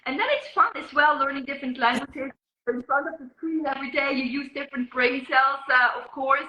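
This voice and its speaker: A journalist from Switzerland speaks with a Swiss accent, her first language Swiss German. Her voice sits a little high, and she works through her thoughts with many ums and uhs. The sound is roomy and dull, as on a video call. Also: brisk. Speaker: journalist and guest. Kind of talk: interview conversation.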